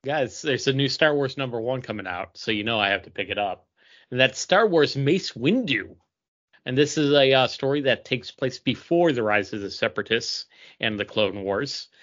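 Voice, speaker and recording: Average-pitched man, hesitant, lo-fi sound. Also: lively intonation, moderate pace, a bit bright. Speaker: podcast co-host. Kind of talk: casual comic book preview. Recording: dull, lo-fi podcast audio.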